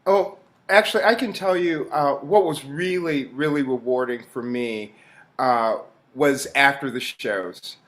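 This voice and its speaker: A man with a mid-pitched voice talks at a slow pace in an interview. He is reflective and halting, pausing often as he thinks through what he says. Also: fairly bright tone. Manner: halting, thoughtful, with frequent pauses. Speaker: actor being interviewed.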